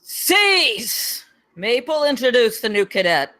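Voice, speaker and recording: A woman's voice: on the high side, sing-song, slow, sharp tone, exaggerated voice. A woman reading the part of an impatient, commanding campus security captain at a script table read.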